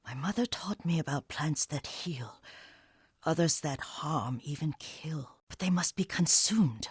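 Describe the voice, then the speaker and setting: An actress playing an elderly, devoted caretaker and herbalist in a video game, gentle but firm, with a husky, breathy voice and a motherly manner. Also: deep voice, no echo, studio quality, full character voice. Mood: earnest, caring. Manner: unhurried, softly instructive, motherly. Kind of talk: video game character dialogue.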